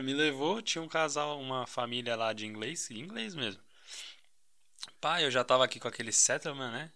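A man's voice: mid-range voice, bright, forceful tone, clear, resonant tone. A young man, co-hosting a podcast and telling a story in a laid-back, slangy way. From Brazil. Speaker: podcast co-host. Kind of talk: casual chat.

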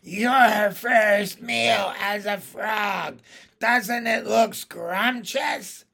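A man voicing a vengeful old witch hag in a storybook, shrill, with a slow, drawn-out, taunting delivery. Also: gravelly, bright, forceful tone, exaggerated voice. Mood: mock-sweet taunting.

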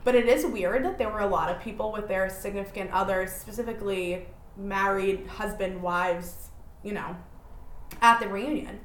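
A woman's voice: mid-range voice, normal speed, echoey room, slight background noise. A woman, hosting her own podcast and telling rambling, self-deprecating stories in casual chat. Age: 20s. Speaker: podcast host.

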